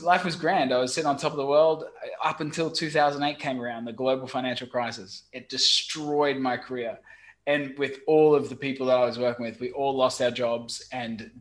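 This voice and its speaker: A middle-aged Australian man, a guest on a podcast call, talking frankly and with lively storytelling, salting it with mild swearing. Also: on the high side. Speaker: guest, website marketing expert. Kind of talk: casual podcast chat. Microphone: remote call.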